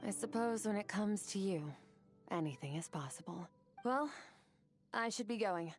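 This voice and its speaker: An actress playing an ancient, stern female warrior who speaks in a calm, measured, philosophical way. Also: medium pitch, faint music behind, close to an everyday voice. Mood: reflective.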